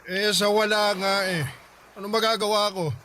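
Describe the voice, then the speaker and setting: A man's voice: sits high, fairly even intonation, normal speed, sharp tone, slight background noise. A man voicing a grieving widowed father who grumbles and defends himself in a radio drama. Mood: grumbling. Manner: defensive, sulky. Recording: music bed underneath.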